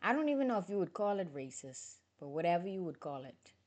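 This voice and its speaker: Outspoken woman with a low voice. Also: quick pace. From Bahamas. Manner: animated, outspoken, jumps between loud outbursts and low mutters. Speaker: livestreamer. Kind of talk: casual livestream chat, greeting viewers.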